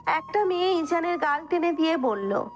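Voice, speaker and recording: A woman's voice: extremely high pitch, animated, normal speed. A woman reading scripted narration for a romantic story. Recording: music under the voice. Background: background music.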